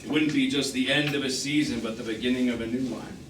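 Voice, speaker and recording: A man's voice: mid-range voice, fairly even intonation, quick pace, close to an everyday voice. A man acting a melancholy, tender, wounded romantic in stage drama dialogue, lyrical and pointed in delivery. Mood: wistful. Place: theatre stage, recorded from the audience distance. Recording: echoey, distant, noisy live theatre recording.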